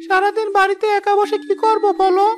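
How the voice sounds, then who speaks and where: A performer voicing an eager, pleading little village boy in an animated moral story, earnest and coaxing. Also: very high voice, fairly quick, over music. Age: child character.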